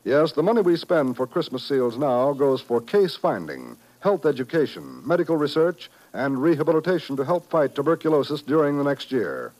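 Male announcer, fairly high voice, earnest, persuasive, scripted appeal, vintage radio broadcast. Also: big pitch swings, moderate pace, hardly any pauses, a little mellow, low-quality recording. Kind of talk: 1950s scripted public service announcement.

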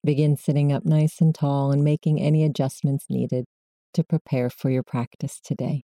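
Low, dark-voiced woman guiding meditation. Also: little pitch movement, dry recording. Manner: slow, gentle, measured instruction. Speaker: meditation instructor. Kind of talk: guided meditation narration.

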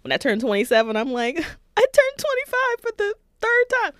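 A woman in her thirties co-hosting a podcast in casual chat, soft-spoken and reflective. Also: sits high, huge pitch swings, moderate pace, no echo, clean studio sound. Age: 30s. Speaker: podcast co-host.

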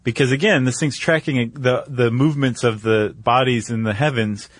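A man's voice: medium pitch, fairly even intonation, normal speed, dry recording, studio quality. A man co-hosting a podcast, explaining things in a casual, conversational way with little check-in questions. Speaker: podcast co-host.

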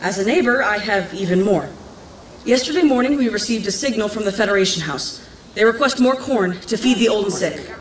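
A woman's voice: mid-range voice, lively intonation, a little fast, close to an everyday voice. An earnest, resolute young woman messenger acted by a woman speaks formally to the public. The audio drama recording is echoey, with faint noise underneath. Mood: earnest, urgent. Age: young adult. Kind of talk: scripted audio drama acting.

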